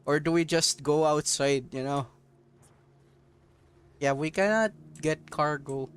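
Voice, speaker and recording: Man, from Southeast Asia, unhurried, rambling gameplay chat, laughs a lot. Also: a little high, clear, resonant tone. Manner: rambling, laughs often, swears casually. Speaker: mobile game streamer. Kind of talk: casual gameplay commentary.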